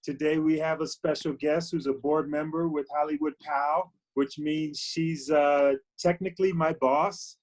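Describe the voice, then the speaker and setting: A man hosting a youth group chat on a video call, talking casually and haltingly with many fillers and restarts. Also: a little high, steady tone, darkish tone, rough recording. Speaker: youth program host.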